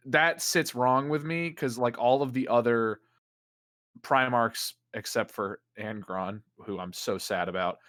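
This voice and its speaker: A man co-hosting a casual podcast roundtable, talking in animated, joking bursts. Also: mid-range voice, slow, no echo, clean studio sound.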